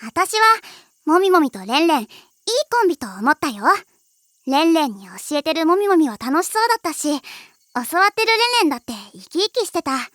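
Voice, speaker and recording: A woman's voice: sits high, very animated, fairly quick, a bit bright, no room sound, studio quality. A woman voicing a cute, candid teenage bandmate in an anime, with casual girlish speech and a caring, blunt way. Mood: earnest, pressing. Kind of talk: anime voice acting.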